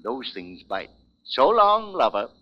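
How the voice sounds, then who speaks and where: An actor playing a cynical, theatrical boozer sidekick with an American accent, teasing and grandiloquent in 1940s radio style. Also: male voice, mid-range voice, huge pitch swings, slow pace, slight background noise. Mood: mocking, teasing. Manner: mocking, grandiloquent. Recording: muffled lo-fi 1940s radio recording.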